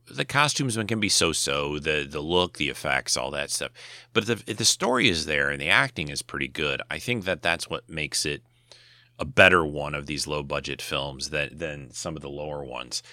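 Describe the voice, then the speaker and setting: Very deep-voiced man rambling casually. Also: sharp tone, no echo, clean studio sound. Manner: rambling, many restarts and fillers. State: dry throat. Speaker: podcast host. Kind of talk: casual solo talk.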